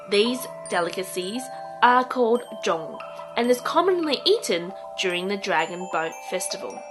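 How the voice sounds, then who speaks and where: Woman narrating a short documentary over music. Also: medium pitch.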